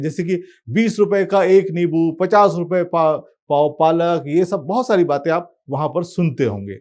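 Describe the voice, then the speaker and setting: Male satirical monologist, higher-than-average voice, highly varied intonation, wry conversational storyteller. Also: a little mellow. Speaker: presenter.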